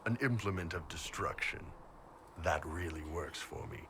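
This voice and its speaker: An actor playing a huge, blunt, jovial mercenary warrior in a video game, with a casual wry delivery. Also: male voice, sits low, moderate pace, natural voice. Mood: wry, amused. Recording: clear vehicle-like ambient noise behind.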